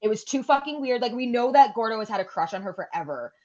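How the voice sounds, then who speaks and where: A woman's voice: fairly high pitch, expressive, quick pace, muffled recording, a crowd in the background. A woman in her forties, co-hosting a podcast and chatting casually, rambling and swearing freely. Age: 40s. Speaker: podcast co-host. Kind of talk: casual chat.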